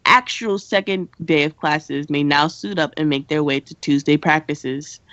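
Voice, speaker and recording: A woman's voice: low voice, unhurried, fairly bright tone, no echo, highs cut off. A woman playing a sensible, dry teenage vampire who co-hosts a school radio show in an amateur radio play. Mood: dry, official. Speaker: student radio host.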